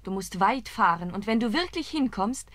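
A woman playing a gentle young princess. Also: medium pitch, lively intonation, normal speed, no room sound, close to an everyday voice. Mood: concerned. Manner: gentle and warning. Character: kind young princess, the prince's caring sister. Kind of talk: German fairy-tale radio play.

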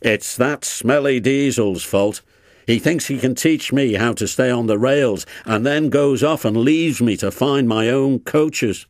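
A man's voice: sits low, expressive, takes it slowly, vocal fry, hardly any pauses, husky, dry recording, studio quality, heavily acted. A man voicing a grumpy, cocky, rude little steam engine in a storybook, blunt and huffy. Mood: cross.

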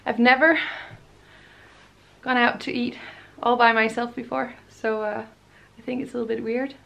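A woman's voice: high voice, fairly bright tone. A woman, chatting casually to a handheld camera for a travel vlog. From Denmark. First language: Danish. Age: 20s. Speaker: travel vlogger. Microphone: handheld camera at arm's length.

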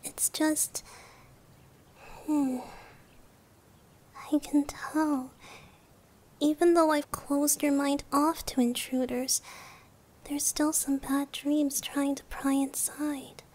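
Woman playing childlike guardian goddess of dreams for comfort ASMR: high-pitched, soft, unhurried, soothing. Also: little pitch movement. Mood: protective, gentle. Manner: hushed, reassuring, often near whisper. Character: gentle childlike female dream-guardian deity.

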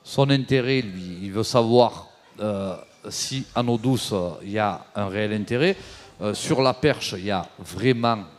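A man's voice: on the low side, measured pace. Man explaining fishing lures in a hall. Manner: animated, explanatory, many fillers. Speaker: fishing tackle presenter. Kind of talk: casual live demo talk. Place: trade show stage. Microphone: handheld mic. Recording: long reverb of a hall.

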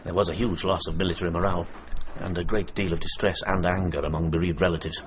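An actor playing a stern, skeptical senior army commander in a radio drama, clipped, formal and authoritative. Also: male voice, low-pitched, fairly even intonation, fast talker, lightly acted. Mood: grudging agreement. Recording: muffled radio recording with clear noise.

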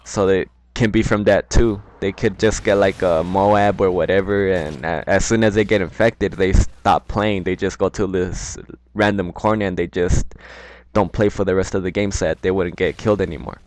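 Low-pitched Mexican-accented male gamer. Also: fairly even intonation, moderate pace, a little mellow, dry recording. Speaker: gamer commentating over own gameplay. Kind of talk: casual rambling gameplay commentary.

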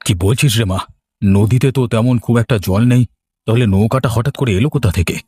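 A man voicing an irritable, gruff village fruit seller in a cartoon ghost story. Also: medium pitch, fairly quick, warm tone, natural voice. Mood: puzzled.

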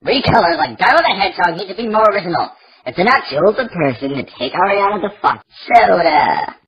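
A woman voicing a brash, excitable, opinionated cartoon character with exclamatory delivery. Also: on the low side, fast talker, lo-fi sound, lightly acted. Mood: brash, excited.

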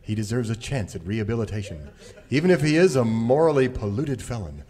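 An actor playing an upright cowboy leader in a parody radio serial, earnest, preachy and melodramatic. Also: male voice, medium pitch, big pitch swings, fairly quick, mellow, natural voice. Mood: noble, earnest.

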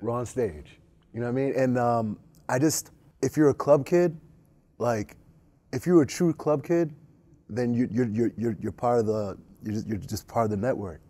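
A young man chats casually on a studio panel, full of energy and repeats. His tone is mellow and warm. He is on a lapel mic. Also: medium pitch, expressive, normal speed. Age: young adult. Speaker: club alumnus and staff. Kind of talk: panel interview. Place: studio stage.

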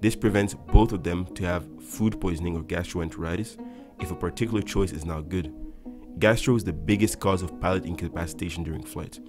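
A young man with a very low voice, narrating a scripted voice-over as an airline pilot. He talks to his viewers in an upbeat, explanatory way. Loud background music runs under his voice. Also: fairly quick. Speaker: airline pilot and video host.

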